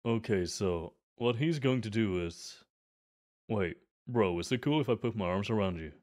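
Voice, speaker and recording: A man's voice: fairly low pitch, normal speed, a little mellow, lightly acted. Man playing an eager, chatty gym bro. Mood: friendly. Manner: chatty, earnest, giggly. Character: friendly eager adult male gym bro. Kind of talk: ASMR roleplay.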